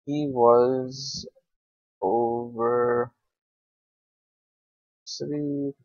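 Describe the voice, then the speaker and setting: A young man talks through a video game in a loose, slangy ramble, swearing casually as he thinks out loud. His voice sits at an average male pitch. The sound is dry and close but dull and lo-fi, like a basic computer microphone. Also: level tone, very slow, no echo. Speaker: gaming video creator. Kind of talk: casual unscripted game commentary.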